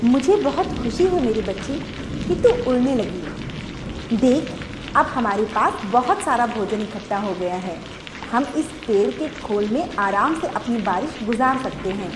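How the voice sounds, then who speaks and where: A caring mother sparrow, voiced by a woman with a fairly high, lively voice. She reassures in an echoey room over clear background noise. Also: measured pace. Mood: reassuring. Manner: warm, reassuring.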